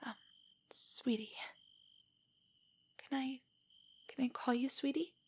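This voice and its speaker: A woman acting a breathy, shy nerdy girlfriend. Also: sits high, fairly even intonation, slow, heavily acted. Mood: tender, happy. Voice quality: soft, breathy, often whispery. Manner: hesitant, stammering, rambling. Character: shy, nervous, nerdy young girlfriend, self-doubting and affectionate. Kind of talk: intimate roleplay audio drama.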